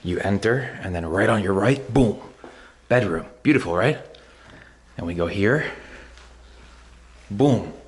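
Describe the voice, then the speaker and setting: Laid-back low-voiced male travel vlogger. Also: expressive, measured pace, long pauses, slightly rough. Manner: laid-back, swears casually, says "bro" and "dude". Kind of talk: casual travel vlog with chat.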